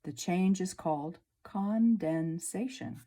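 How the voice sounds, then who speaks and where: A woman teacher reading a children's science book aloud, slowly and clearly, at a table indoors. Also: lowish voice, mellow. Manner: slow, clear storybook reading. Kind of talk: read-aloud lesson for children.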